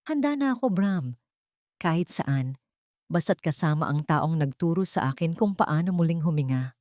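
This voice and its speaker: A woman voicing a gentle, resolute young woman in a love story audio drama, speaking in an earnest, measured, emotional way. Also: sits low, expressive, no room sound, clean studio sound. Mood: tender. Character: young woman, wronged but gentle, resolute and newly free.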